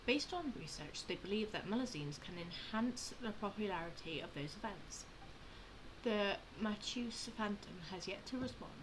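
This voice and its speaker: A woman hosting a game playthrough, commenting casually and reading text aloud in an informal, halting way. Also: mid-range voice, animated. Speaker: gamer, channel host. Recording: clear background noise with game audio.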